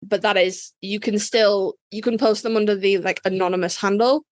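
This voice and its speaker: Excited woman cohost, repeats herself a lot, dry mic. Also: medium pitch, moderate pace, no echo. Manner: enthusiastic, repeats words. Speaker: podcast co-host. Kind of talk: casual podcast interview.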